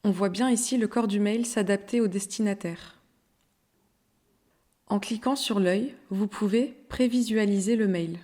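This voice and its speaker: A woman narrating a scripted software tutorial in an instructional, step-by-step way. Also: medium pitch, unhurried.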